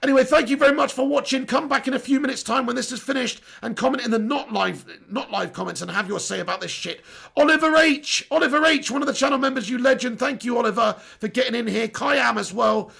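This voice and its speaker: Hoarse, gravelly-voiced football fan. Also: male voice, very high-pitched, quick pace, bright, forceful tone. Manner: swearing, blunt and exasperated. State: losing his voice, hoarse. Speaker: fan channel host reading live chat. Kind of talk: live stream chat reading and rant.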